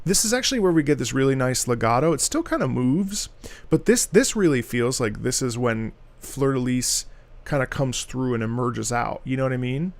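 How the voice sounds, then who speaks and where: A man's voice: mid-range voice, lively intonation, normal speed. A man hosting a livestream, giving casual, enthusiastic and effusive live commentary as he reacts to game music.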